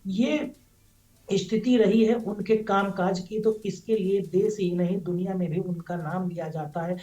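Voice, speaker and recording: A man's voice: sits high, fairly even intonation, unhurried, warm tone, rough recording. A male news correspondent giving an unscripted live report in long, run-on, repetitive sentences.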